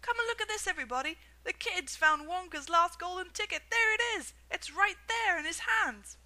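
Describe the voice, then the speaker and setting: A woman voicing a fat, kindly male shopkeeper in a storybook reading, who yells when excited. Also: normal speed. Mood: ecstatic. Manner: booming excited yelling when thrilled.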